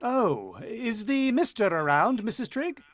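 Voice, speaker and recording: A man's voice: very high-pitched, sing-song, a little slow, fairly bright tone, no room sound, studio quality, heavily acted. A man acting in a radio drama as a shaken, earnest, protective father with a hesitant way of explaining himself. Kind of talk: radio drama acting and first-person narration.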